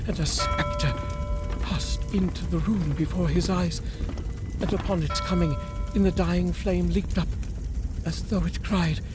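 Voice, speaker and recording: A man reading a classic story aloud as a narrator, with theatrical, deliberate phrasing. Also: a little high. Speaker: audiobook reader. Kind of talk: dramatic literary storytelling narration.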